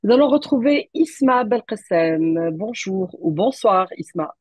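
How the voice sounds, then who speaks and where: A woman hosting an interview show, speaking in a measured, polite way with some fillers and restarts. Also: mid-range voice, expressive, darkish tone, slightly rough, dry recording. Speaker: show host.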